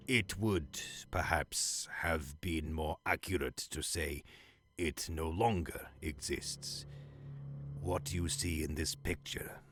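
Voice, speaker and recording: Man acting deep, slow, stern broadcast chief. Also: fairly bright tone. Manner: measured, formal, deliberate pauses. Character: grave senior propaganda broadcast chief, male, stern, controlled zealot. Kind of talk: audio drama acting. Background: music underneath.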